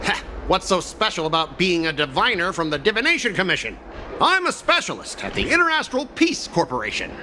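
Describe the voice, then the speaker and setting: High, pompous, swooping corporate rival. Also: male voice, big pitch swings, brisk, background noise. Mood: smug, taunting. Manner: pompous, boastful, theatrical. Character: arrogant, easily riled male corporate specialist rival. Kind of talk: anime game voice acting.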